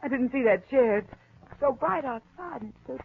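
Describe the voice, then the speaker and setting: A woman's voice: sits high, lively intonation, moderate pace, vocal fry. An actress playing a polite, weary woman seeking lodging, hesitant and apologetic, in 1940s radio drama style. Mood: hesitant, surprised. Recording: tinny, noisy old radio recording.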